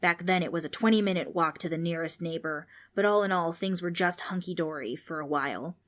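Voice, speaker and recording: A woman's voice: lowish voice, brisk, fairly bright tone, close to an everyday voice. Woman voicing a cranky, rambling old male ghost. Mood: storytelling. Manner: grumbling, exasperated, old-fashioned insults and rambling. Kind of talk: children's audiobook character voice.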